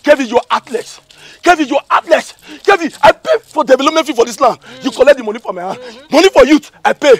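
Man acting an aggrieved Nigerian emigrant, very high voice. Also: animated, fast talker. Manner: plaintive, repeats phrases over and over. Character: aggrieved, pleading male emigrant cheated out of land. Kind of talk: comedy skit acting in Pidgin English.

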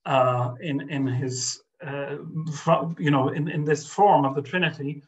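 A man's voice: a little high, a little slow, low-quality recording. An older man, a guest scholar speaking over a video call from a home study, thoughtful and hesitant with many restarts and fillers. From Ireland. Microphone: webcam on video call.

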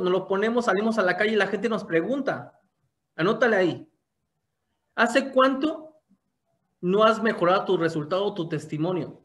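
A young man with a fairly high voice tells his own story to an audience. He speaks over a webcam from a room at home and keeps asking his listeners rhetorical questions. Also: a bit bright. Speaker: motivational speaker giving a business testimony. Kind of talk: motivational testimony talk. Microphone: webcam on a video call.

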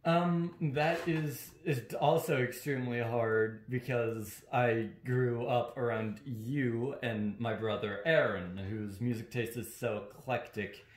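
A young man, chatting casually in a halting, deliberate way. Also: mid-range voice. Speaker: co-host answering and asking questions. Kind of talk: casual chat. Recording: roomy, echoing sound.

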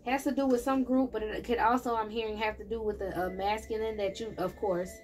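A woman's voice: on the high side, steady tone, fairly quick, a little mellow, slight background noise. A woman giving a casual tarot reading, chatting straight to her viewers. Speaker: tarot reader. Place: indoor table with candles and plants.

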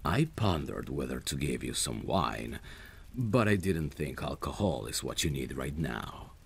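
A man playing a protective, lovesick friend in a romantic ASMR roleplay, speaking tenderly and intimately in a husky, gravelly voice. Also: a bass voice, big pitch swings, exaggerated voice. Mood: tender, concerned. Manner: tender, soothing, unhurried. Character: protective lovesick male friend, gentle and devoted.

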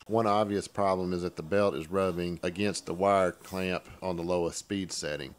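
A man with a very low-pitched voice talks through a hands-on tool review. He explains each step casually and practically, in plain everyday words, as a hobbyist would. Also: fairly even intonation, moderate pace, a little hiss or hum.

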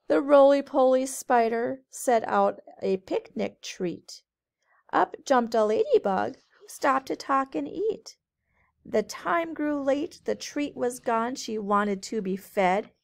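A woman teacher reading a storybook aloud to children in a measured, sing-song way. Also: on the high side, creaky. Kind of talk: storybook read-aloud narration.

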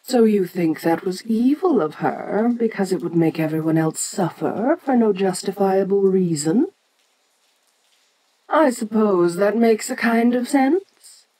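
A woman playing an ancient, imperious kaiju giantess in an audio roleplay, speaking formally and with deliberate, musing condescension. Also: medium pitch, dark tone, low-quality recording. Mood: curious, probing. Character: imperious ancient female kaiju giantess, haughty, curious, domineering. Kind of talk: audio roleplay addressed to the listener.

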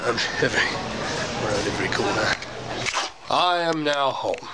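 Young man, rambling self-mocking vlog, lively swooping voice, camera at arm's length, loud store noise behind. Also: mid-range voice, unhurried. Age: 20s. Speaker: vlogger. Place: supermarket, gas station and hardware store. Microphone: handheld camera at arm's length.

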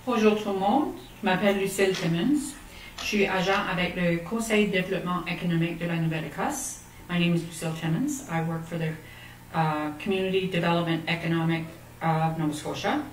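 A middle-aged woman with a slightly low voice reads a prepared, formal presentation. She stands away from the mic in an echoey room, with faint noise behind her. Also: fast talker, distant mic. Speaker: economic development officer giving a presentation. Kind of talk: formal scripted presentation, read aloud. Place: meeting room indoors, at a lectern.

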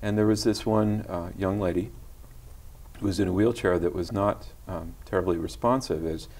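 A middle-aged man speaking gently as a guest in a TV studio interview, with many small hesitations, on a lapel mic. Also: low-pitched, steady tone, normal speed. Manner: gentle, soft-spoken storytelling with hesitations. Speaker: guest musician. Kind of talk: casual interview talk. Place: tv studio set.

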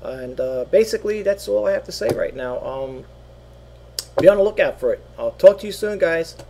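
A man's voice: sits high, animated, normal speed, vocal fry, mellow, slight background noise. A middle-aged man casually showing items he is selling online from a small home office, rambling with many fillers and repeats, his voice wearing thin. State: losing his voice. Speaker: online seller. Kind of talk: casual product walkthrough. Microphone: webcam at desk.

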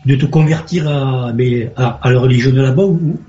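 Muffled, mellow-voiced male co-host. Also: mid-range voice, soft background music. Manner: polite, halting questions. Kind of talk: talk radio chat.

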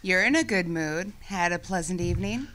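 An actress playing a detective's wry, loyal secretary in a radio drama, dry and knowing. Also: female voice, lowish voice, normal speed, a bit bright, soft background music, natural voice. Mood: teasing, wry. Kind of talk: old-time radio drama acting.

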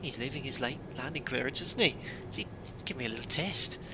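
A man who flies airliners for a living calmly commenting on a simulator landing and reading out checklist items as he goes. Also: medium pitch, fast, noisy background. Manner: calm running commentary, reads out checklist items. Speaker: airline pilot streaming a flight simulator. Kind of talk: live commentary while flying.

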